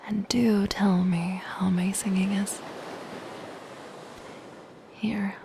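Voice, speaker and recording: A woman voicing a soft-spoken, possessive and lonely siren in an ASMR roleplay, in a breathy, gentle, intimate whisper. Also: medium pitch, little pitch movement. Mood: softly possessive. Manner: slow, gentle, intimate delivery. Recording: close whisper under ocean surf noise. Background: ocean waves and surf.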